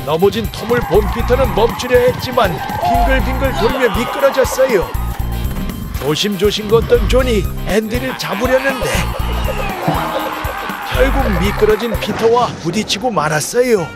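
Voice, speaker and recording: Man narrating a children's story over loud music. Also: high voice, lively intonation, normal speed, a loud crowd.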